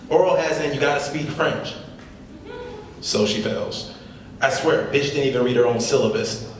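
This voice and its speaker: A man acting as a brash young male comedian doing crude storytelling patter in a drama reading. His mid-pitched voice carries from far off in a very reverberant hall. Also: moderate pace, lightly acted. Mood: smug, crude. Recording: very echoey hall, far mic, faint noise.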